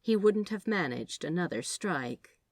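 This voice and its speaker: A woman's voice: lowish voice, lively intonation, unhurried, no room sound. A woman reading fiction aloud as a narrator in a scripted storytelling style.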